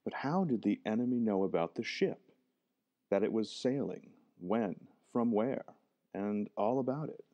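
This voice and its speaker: An actor playing a sober government official who questions in a formal, measured way, in old-time radio drama style. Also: male voice, lowish voice, expressive, highs cut off. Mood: serious, inquiring.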